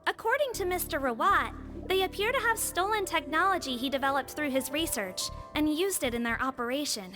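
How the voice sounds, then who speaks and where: A woman's voice: high voice, expressive, brisk. A woman voicing a polite nonhuman female officer who explains things carefully, from a video game. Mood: informative. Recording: game audio with faint music.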